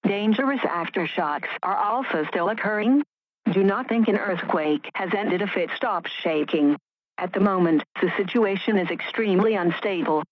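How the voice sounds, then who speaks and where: A stern female emergency announcer voiced by a woman reads an official warning with formal authority. Her medium-pitched voice comes through very dry, slightly dull radio audio. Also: moderate pace, no room sound, lightly acted. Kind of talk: scripted emergency alert message.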